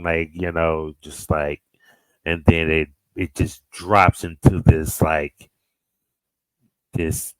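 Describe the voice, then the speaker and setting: A man hosting a music reaction, chatting casually in slangy, halting phrases and searching for words. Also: deep voice, fairly bright tone, clear, resonant tone, dry recording, low-quality recording. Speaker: music reaction video host. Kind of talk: casual, unscripted reaction chat.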